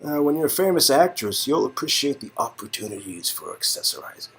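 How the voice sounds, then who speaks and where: Husky man narrating a story game. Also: medium pitch, sing-song, brisk, a bit bright. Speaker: co-host, narrator. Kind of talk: playthrough narration with casual banter. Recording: music in background.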